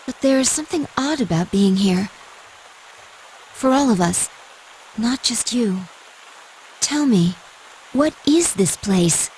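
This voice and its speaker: A composed, serious android young woman from an anime game dub. Her speech is measured and sits slightly high. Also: animated, darkish tone, slight background noise, low-quality recording. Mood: calm, reflective.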